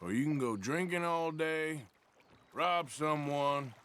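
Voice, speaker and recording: An actor playing a gruff outlaw in his thirties in a video game, dry and grumbling. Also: fairly high pitch, slow, a bit bright. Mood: exasperated. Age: 30s. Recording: noisy, watery outdoor ambience behind.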